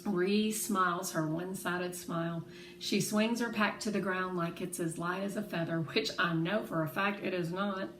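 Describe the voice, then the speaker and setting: A woman reading a children's novel aloud in expressive storytelling narration. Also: lowish voice, moderate pace. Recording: roomy echo, faint background noise.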